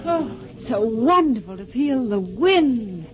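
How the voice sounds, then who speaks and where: A woman's voice: fairly high pitch, big pitch swings, slow, crowd noise. An actress playing an earnest, emotional woman survivor with the dramatic delivery of a 1950s radio drama. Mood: relieved, puzzled. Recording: dull, hissy old radio recording.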